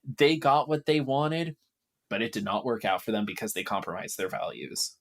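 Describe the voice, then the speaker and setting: A man's voice: on the low side, lively intonation, moderate pace, vocal fry. A man speaking as a podcast guest in casual discussion, emphatic and explanatory.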